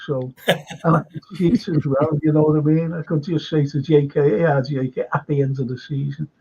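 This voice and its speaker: A man's voice: a little high, steady tone, moderate pace, creaky, mellow, slightly rough, highs cut off. An older man hosting a casual fan chat show, rambling and chatty with lots of "you know". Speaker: host.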